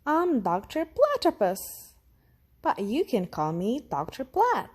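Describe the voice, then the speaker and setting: A woman reads a mother platypus from a children's storybook. She is a friendly, courteous doctor with a high voice and lilting intonation. She explains things in a welcoming, unhurried manner. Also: huge pitch swings, a little mellow, slightly rough, heavily acted. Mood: polite, friendly.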